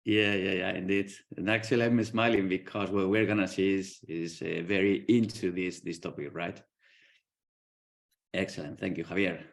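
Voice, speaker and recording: A man's voice: sits low, steady tone, normal speed, gravelly. A man, a professor, hosts an informal online conversation, hesitant and repeating words as he praises his students. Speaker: professor hosting student presentations.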